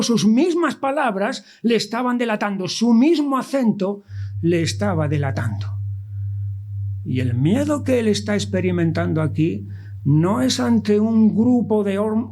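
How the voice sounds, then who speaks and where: A man with a Madrid Spanish accent preaching an expository sermon, deliberate, pausing and posing rhetorical questions as he reads scripture. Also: high voice, darkish tone. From Spain, born in Andalusia and raised in Madrid.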